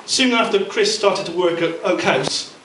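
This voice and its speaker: A middle-aged man delivering a prepared, humorous best man speech at a wedding reception, telling anecdotes with measured pauses. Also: sits high. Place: indoor function room at a wedding reception. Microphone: handheld mic through a PA speaker, filmed from across the room. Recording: distant, echoey, very noisy room recording. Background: loud room noise and guests.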